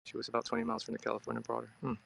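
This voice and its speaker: Man, from Hong Kong, bright gravelly voice, casual live-stream chat, musing and conversational. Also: mid-range voice, big pitch swings, very fast, no room sound. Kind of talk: casual chat, answering viewer comments. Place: outdoors at a riverside.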